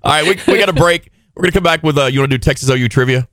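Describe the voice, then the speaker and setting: A man's voice: a little high, fairly bright tone, no echo, studio quality. A man hosting a morning radio show, joking and teasing his co-host in casual banter. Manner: playful, teasing, quick jokes.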